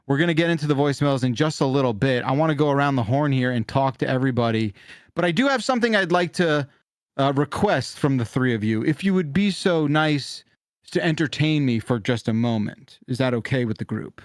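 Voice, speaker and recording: A middle-aged man hosting a call-in podcast in casual chat, often halting and restarting his sentences. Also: mid-range voice, expressive, no echo, clean studio sound. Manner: rambling, halting, self-interrupting. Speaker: podcast host of a call-in show.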